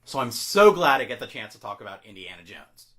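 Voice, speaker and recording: A man's voice: medium pitch, very animated, a little fast, sharp tone. A man hosting an online video, giving scripted, enthusiastic fan commentary to his viewers. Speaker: YouTube host. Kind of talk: scripted video commentary on film and game news.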